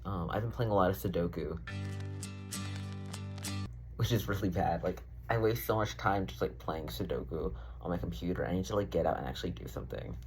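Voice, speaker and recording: A man's voice: sits low, brisk, darkish tone, faint music behind. A young man rambling casually in a diary-style vlog, full of fillers, swearing and self-deprecating asides. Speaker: vlogger.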